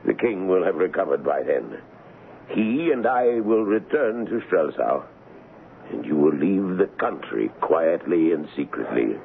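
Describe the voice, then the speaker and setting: An actor playing a shrewd, commanding royal colonel in a radio drama, speaking with emphatic, persuasive deliberation. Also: male voice, sits low, very animated, unhurried, creaky, full character voice. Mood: resolute. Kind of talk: old-time radio drama acting. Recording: muffled, noisy vintage radio broadcast.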